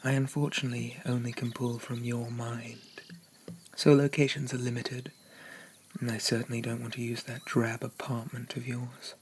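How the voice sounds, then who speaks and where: A man playing a haughty, possessive demon prince in an ASMR roleplay, speaking formally and softly, often dropping into a whisper. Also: mid-range voice, flat delivery, moderate pace, slight background noise, close to an everyday voice. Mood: suave, indulgent. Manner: pompous, formal, softly condescending.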